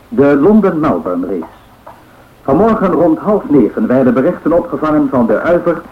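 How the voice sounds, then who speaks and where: Formal 1930s radio news announcer, official bulletin style, very tinny old radio filter. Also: male voice, medium pitch. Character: formal male 1930s press bureau newsreader. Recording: thin, phone-like old radio sound.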